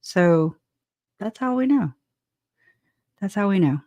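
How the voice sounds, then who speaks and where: A woman's voice: medium pitch, expressive, slow, mellow, no room sound, highs cut off. A woman hosting a livestream, chatting casually and rambling, with frequent restarts and laughter. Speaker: livestream host.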